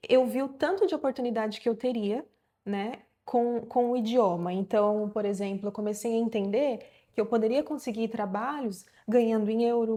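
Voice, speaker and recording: Brazilian woman, thirties, vlogger; mellow, slightly high voice, loose and encouraging delivery. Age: 30s. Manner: chatty, encouraging, many fillers and restarts. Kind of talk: casual talk to camera.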